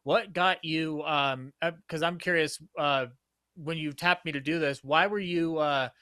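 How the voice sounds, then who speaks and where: A man hosting a casual live interview, halting and repeating himself as he goes. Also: a little high, steady tone, bright, forceful tone, smooth, no room sound, clean studio sound. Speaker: host interviewer.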